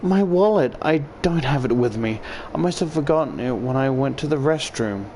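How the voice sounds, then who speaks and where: Guy doing an earnest nervous teen girl, sweet on her friend, voice pitched up, game dialogue. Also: animated, moderate pace, a little mellow, slight background noise, lightly acted. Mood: worried.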